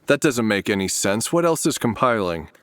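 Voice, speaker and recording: A man's voice: lowish voice, big pitch swings, moderate pace, dry recording, studio quality. A man playing an anxious, exasperated programmer in an audio drama, with an awkward, grumbling, naturalistic delivery.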